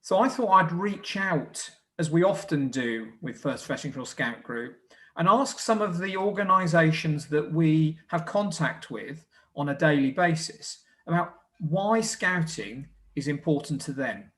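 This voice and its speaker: A man speaking as a group leader and host of a community event, in a measured, earnest way. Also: fairly high pitch, animated, a bit bright. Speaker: scout group leader hosting a live presentation. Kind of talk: semi-scripted event presenting.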